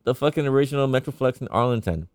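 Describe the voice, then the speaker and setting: A man's voice: medium pitch, animated, fast, no room sound, studio quality. A young man on a casual podcast who speaks emphatically, repeats words for effect and swears to hype things up. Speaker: podcast co-host.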